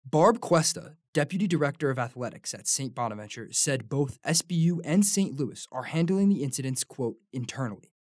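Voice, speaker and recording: A man's voice: mid-range voice, animated, fairly quick, hardly any pauses. A young adult man with an American accent reading scripted narration as a student TV news reporter.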